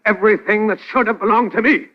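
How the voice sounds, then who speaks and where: A man's voice: high-pitched, steady tone, fast. An actor playing a vengeful mad scientist in 1940s radio drama style, menacing and theatrical, savoring his words. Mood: bitter, vengeful. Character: vengeful mad male scientist, gloating sadistic villain. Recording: muffled old-time radio recording.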